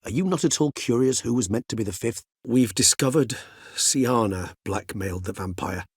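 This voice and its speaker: An actor playing an erudite, courteous, weary male higher vampire in a fantasy game, speaking formal, wordy, old-fashioned English in a husky voice. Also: lively intonation, moderate pace, no echo, clean studio sound. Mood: composed, explanatory. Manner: formal, wordy, archaic gentlemanly diction. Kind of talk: fantasy game character dialogue.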